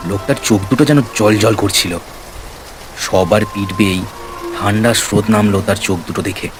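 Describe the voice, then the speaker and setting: A man's voice: lowish voice, normal speed, music in the background, rough recording. A man reading a scripted horror story as narrator, in storytelling style. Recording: rain and ambience behind the voice. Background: rain sound effects.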